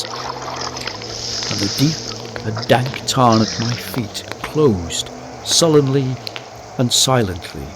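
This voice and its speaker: An actor playing a haunted gentleman narrating a past horror in a radio drama, in a grave, literary, theatrical manner. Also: mid-range voice, background noise.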